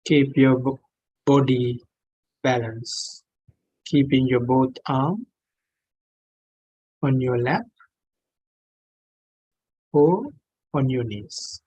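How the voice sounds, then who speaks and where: A man who is a non-native English speaker, guiding a meditation in gentle, measured phrases with long pauses. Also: mid-range voice, breathy edge, low-quality recording. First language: not English. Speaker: meditation teacher. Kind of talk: guided meditation instruction.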